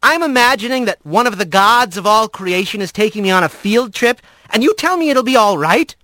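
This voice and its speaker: Male reader as a small male rat, storybook, high voice, plucky, folksy, indignant. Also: lively intonation, fairly quick, bright, forceful tone, dry recording. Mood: incredulous. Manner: indignant, folksy, polite to betters. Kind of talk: storybook character reading.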